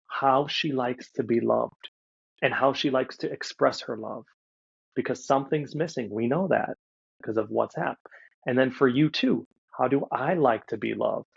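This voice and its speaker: A man giving live mindset coaching, speaking emphatically and instructively straight to his listener, with a gravelly, slightly husky voice. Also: medium pitch, muffled recording. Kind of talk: live one-to-one coaching talk.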